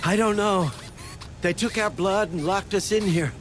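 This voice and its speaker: A shaken captive civilian in a game. His voice is high and uneasy, with music clearly behind it. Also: measured pace. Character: shaken male civilian prisoner.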